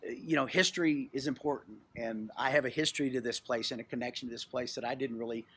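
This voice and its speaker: A middle-aged man from Texas tells a story in an informal, reflective way. He pauses and repeats phrases as he goes. There is clear ambient noise behind him, as on a location recording. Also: mid-range voice, fairly even intonation, fairly bright tone. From Texas, United States. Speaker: museum storyteller and co-host. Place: on location at a historic lodge.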